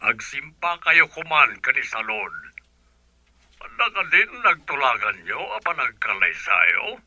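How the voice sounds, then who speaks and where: An older filipino dad in a radio play, very bright forceful voice, dry sound. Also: male voice, medium pitch, big pitch swings, unhurried, breathy edge, no echo, heavily acted. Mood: probing, stern. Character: older father, slow, probing family patriarch. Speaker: radio drama actor. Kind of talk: Ilocano radio drama acting.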